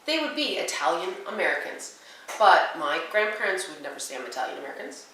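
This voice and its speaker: A woman's voice: fairly low pitch, very animated, brisk, a bit bright, slight background noise. A woman guest in a discussion, thinking aloud with many restarts and apologies. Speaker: panel guest. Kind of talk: casual discussion. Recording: very echoey room, mic far off.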